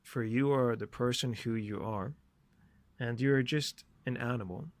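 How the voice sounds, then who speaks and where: Slow, deliberate man hosting a podcast. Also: medium pitch. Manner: deliberate, short phrases with repetition. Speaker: podcast host. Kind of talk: reflective solo podcast monologue.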